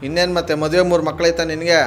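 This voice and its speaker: Male prank-call host, southern Karnataka accent, quick-fire aggrieved banter, insistent and high-pitched. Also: little pitch movement. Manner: argumentative, feigning grievance, persistent.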